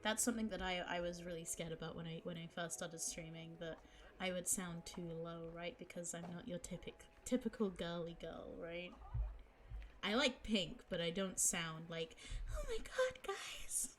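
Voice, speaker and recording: A young woman streamer chatting casually with viewers, giggling and teasing herself, her voice a little low for a woman and her throat sore. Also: expressive, normal speed. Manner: chatty, giggly, self-mocking. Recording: music playing behind the voice.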